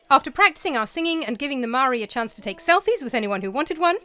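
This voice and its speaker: Female vlog narrator, scripted and scholarly, bright lilting delivery, bone-dry studio read. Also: medium pitch, clean tone, dry recording. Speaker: vlogger and narrator. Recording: dry close-mic voice-over.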